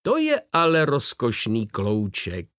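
A man reading an audiobook voices a shrewd, self-assured middle-aged detective with a crisp manner. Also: mid-range voice, wildly swooping pitch, a bit bright, no echo, studio quality. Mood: coaxing. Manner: crisp, assured, sly charm. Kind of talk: audiobook character voice.

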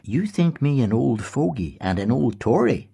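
A man's voice: medium pitch, sing-song, normal speed, creaky, mellow, husky, voice pushed up, exaggerated voice. A man voicing a stern old male schoolmaster who lectures firmly and pompously. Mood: lecturing. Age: older.

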